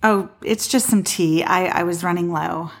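A woman's voice: on the low side, normal speed. An actress playing a weary, guarded woman who has given up on love, speaking hesitantly in a naturalistic audio drama style. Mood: softening, tentative. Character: weary, disillusioned woman who stopped believing in soulmates.

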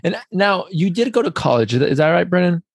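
A man's voice: high-pitched, very animated, quick pace, creaky, slightly rough, no echo. Chatty male podcast host, close dry sound. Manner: talkative, effusively affirming. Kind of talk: casual conversational interview.

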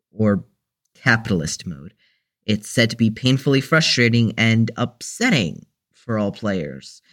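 A man playing a mild-mannered, unsure young newcomer with a halting delivery in an audio drama. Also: medium pitch, natural voice.

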